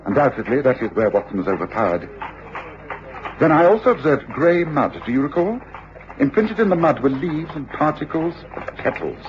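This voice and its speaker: An actor playing a brilliant, commanding English detective in a radio drama, speaking precisely and deliberately with an English accent. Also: male voice, fairly high pitch, big pitch swings. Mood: urgent, reasoning. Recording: muffled, noisy old radio drama recording.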